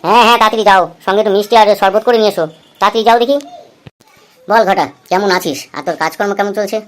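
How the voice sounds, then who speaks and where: Casting: male actor as thirties husband for comedy cartoon, quick delivery, chatty, thrifty, coaxing and teasing. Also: sits high, fairly bright tone. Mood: excited, welcoming. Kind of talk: amateur comedy cartoon dialogue.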